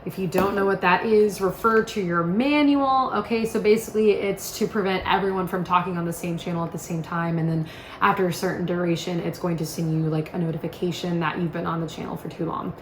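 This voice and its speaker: A woman's voice: lowish voice, steady tone, a little fast. A woman giving a casual, chatty product demonstration, explaining things step by step in an informal, instructional way. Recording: roomy echo, faint background noise.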